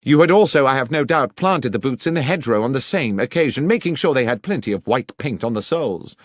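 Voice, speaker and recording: A man's voice: mid-range voice, expressive, fast, dry recording, studio quality, voice pushed up, natural voice. A man voicing a confident, incisive detective in an audiobook, speaking formally and precisely as he lays out deductions. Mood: confident, accusing. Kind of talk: audiobook character acting.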